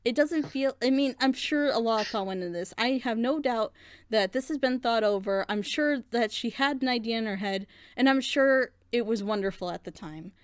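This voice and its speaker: Higher-voiced woman ranting passionately. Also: moderate pace, dry recording, studio quality. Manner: frustrated, rambling, emphatic. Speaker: reaction channel host. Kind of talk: passionate casual critique.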